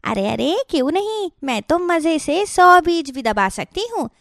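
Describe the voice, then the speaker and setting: Small female woodland animal, voiced by a woman: high pitch, dry sound, cheerful, enthusiastic cartoon delivery. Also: very animated, a little slow, dry recording, exaggerated voice. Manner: bubbly, enthusiastic. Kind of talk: Hindi children's cartoon story acting.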